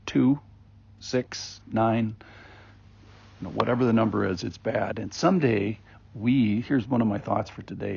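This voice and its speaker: A man giving an informal daily Bible reflection as a podcast host, rambling and conversational with many ums and restarts, in a gravelly, husky voice. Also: fairly low pitch, darkish tone. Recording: dull lo-fi recording.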